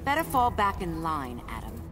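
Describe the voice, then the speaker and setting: Game heroine: regal, stern warrior goddess, dramatically swooping delivery, loud music behind. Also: female voice, low voice, wildly swooping pitch, moderate pace. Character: proud, commanding Amazon warrior goddess.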